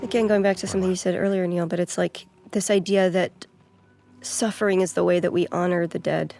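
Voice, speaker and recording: A woman's voice: lowish voice, fairly even intonation, fairly quick, gravelly, darkish tone, soft background music. A woman discussing a video game's story as a writer, in a conversational commentary.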